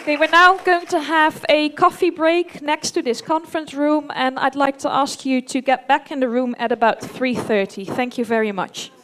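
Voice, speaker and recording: A woman moderating a live event in a conference room, briskly directing the audience. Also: high-pitched, fairly even intonation, a bit bright, some chatter behind, slight background noise.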